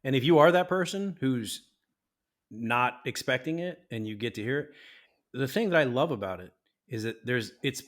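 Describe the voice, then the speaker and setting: A man's voice: medium pitch, animated, normal speed. A man co-hosting a casual podcast, swearing freely, laughing often and turning earnest when talking about friendship and trust. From Mexican-American. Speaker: podcast co-host. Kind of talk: casual chat.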